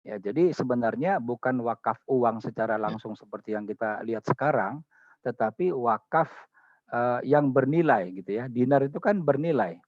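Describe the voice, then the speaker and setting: A man's voice: mid-range voice, lively intonation, normal speed, no room sound, narrow, tinny audio. A man with an Indonesian accent giving an explanatory lecture as a guest speaker, in a didactic way, often punctuating his points with short check-in tags. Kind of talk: explanatory lecture on religious law.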